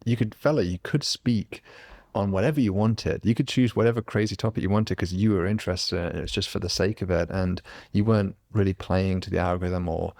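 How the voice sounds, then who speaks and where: A man's voice: deep voice, steady tone, a little fast, a little mellow. A man hosting a podcast, talking in an earnest, reflective way in a casual conversation. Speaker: podcast host.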